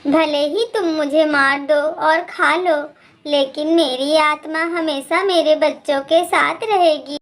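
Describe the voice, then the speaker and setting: A woman voicing a pleading, self-sacrificing mother bird in an animated moral story. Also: high-pitched, slow. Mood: sorrowful defiance. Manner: pleading, earnest.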